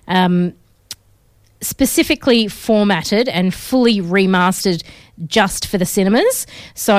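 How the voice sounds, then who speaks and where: Aussie lady radio host rambling on air, bit crackly but smooth, dry studio sound. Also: medium pitch, dry recording. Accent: Australian. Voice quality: slightly crackly voice. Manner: chatty, rambling, many fillers. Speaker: radio film show host. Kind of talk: casual radio chat. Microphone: studio mic.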